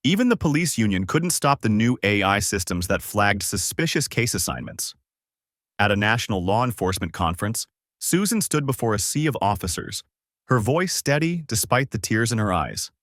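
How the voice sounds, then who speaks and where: A man reading a scripted dramatic story in a measured, polished storyteller's delivery. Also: fairly low pitch, big pitch swings, fairly bright tone, no echo, studio quality. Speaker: narrator of an online story channel.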